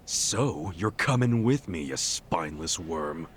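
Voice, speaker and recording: A brash, deep-voiced yakuza hero. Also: male voice, expressive, slow, a little hiss or hum. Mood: taunting, stern. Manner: taunting, brash, emphatic. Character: bold hot-headed loyal yakuza hero leading his crew. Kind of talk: dramatic English video game dub acting.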